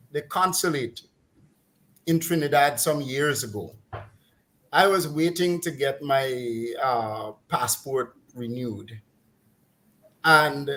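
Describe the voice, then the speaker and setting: A man's voice: mid-range voice, fairly bright tone. A middle-aged man with a Guyanese accent, talking casually in a mocking, exasperated way and laughing now and then as he rants. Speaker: commentator on a talk program. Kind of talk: casual opinionated chat.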